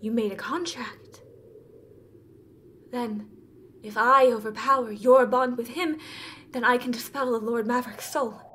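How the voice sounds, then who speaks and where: A high-pitched, imperious siren empress, voiced by a woman in a fantasy horror drama. Her speech is slow, formal and theatrical, proud with an edge of fear. Faint ambient noise sits behind her. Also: fairly even intonation, a bit bright. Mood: scheming.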